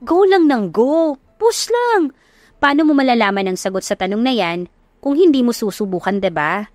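Sassy young best friend played by a female narrator: high, punchy, slangy pep talk for a romance audiobook. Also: very animated, normal speed, no echo. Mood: emphatic encouragement.

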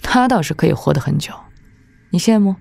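Imperious low-voiced female demon lord. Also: animated, fairly quick, creaky, darkish tone, dry recording, heavily acted. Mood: grave, cool. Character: proud, formidable female martial-arts demon lord, coolly domineering. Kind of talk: Chinese martial-arts audio drama acting.

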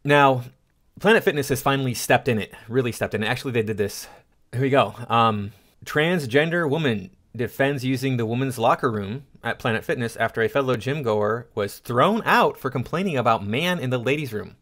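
Middle-aged American male host, medium pitch, halting and exasperated off-the-cuff opinion commentary. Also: a bit bright. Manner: indignant, halting, repeats himself. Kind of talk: casual opinion commentary over clips.

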